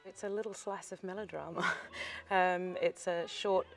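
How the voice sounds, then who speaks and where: A woman in the opera's cast, chatting warmly about the piece in a promotional interview, with little fillers along the way. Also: on the low side, fairly even intonation, moderate pace, soft background music.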